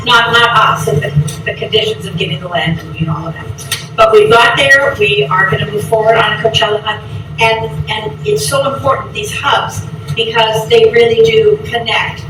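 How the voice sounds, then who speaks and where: A woman chairing a committee, reporting to a public board meeting in an upbeat, effusive way with a loud voice. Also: medium pitch. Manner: upbeat, effusive, restarts often. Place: large echoey meeting room. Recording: echoey, distant, noisy meeting recording.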